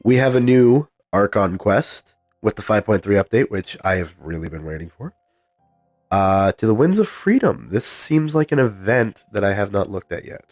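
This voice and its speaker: Deep male voice thick with a cold, and he is soft-spoken, filling pauses with um while reading out game text. The sound is dry and close, with faint game music underneath. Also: animated, no room sound. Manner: soft-spoken, many ums, reads game text aloud. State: stuffed up with a cold. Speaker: game streamer. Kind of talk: casual live game commentary.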